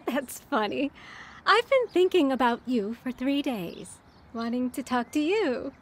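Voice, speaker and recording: A woman playing a kindly, devout, reassuring neighbor in a radio drama, gentle and assured. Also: high voice, expressive, a little slow.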